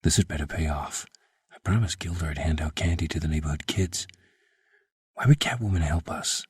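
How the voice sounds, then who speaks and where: An actor playing a brash, sardonic district attorney with a gravelly, husky voice in an audio drama. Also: male voice, extremely low pitch, brisk, no echo, clean studio sound, full character voice. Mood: cocky, conspiratorial. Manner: sardonic, cocky, informal. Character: brash, sardonic male district attorney, vengeful yet tender husband. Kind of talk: fan audio drama acting. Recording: music under the voice.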